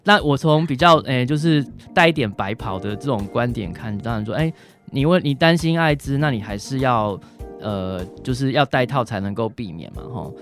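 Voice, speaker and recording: A man's voice: medium pitch, lively intonation, normal speed. A man with a Taiwanese Mandarin accent, a doctor giving informal advice as a guest, often ending phrases on small particles. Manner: frequent trailing particles, role-plays examples in passing. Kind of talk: informal question-and-answer advice. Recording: music behind the voice.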